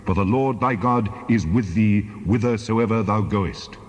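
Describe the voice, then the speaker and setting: An actor voicing God as a commanding, reassuring presence, solemn and measured in a dramatized scripture reading. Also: male voice, fairly low pitch. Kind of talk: dramatized audio Bible. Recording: long reverberant hall.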